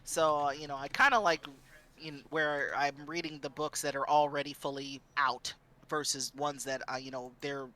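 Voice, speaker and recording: A man chatting casually as a streamer on a panel, acting out reactions in an animated way. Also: medium pitch, moderate pace.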